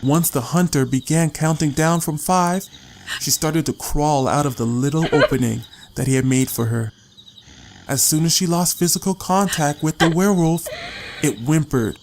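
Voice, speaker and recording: A man's voice: fairly high pitch, moderate pace, noisy background. A man reading a story as an audiobook narrator, in a dramatic scripted style.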